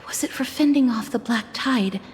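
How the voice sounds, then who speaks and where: Woman acting a gentle, solemn, high-voiced young woman. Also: in a large hall, slight background noise, close to an everyday voice. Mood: calm, thoughtful. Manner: measured, formal, soft-spoken. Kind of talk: video game voice acting. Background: background music.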